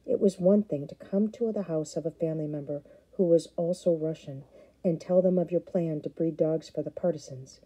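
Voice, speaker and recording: A woman narrating a first-person novel as an audiobook in a measured, unhurried way. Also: deep voice, mellow, slightly rough, rough recording.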